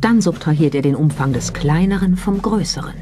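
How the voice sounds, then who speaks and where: Documentary narrator, medium pitch, scripted read with some music behind. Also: expressive, a little fast.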